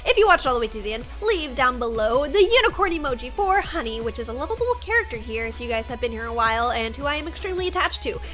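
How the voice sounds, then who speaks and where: Very high, bright young woman gamer. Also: big pitch swings, fast, hardly any pauses, faint music behind. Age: 20s. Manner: theatrical, exasperated, girlish. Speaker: YouTube gaming host. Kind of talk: dramatic improvised game roleplay and chatty narration. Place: small indoor room.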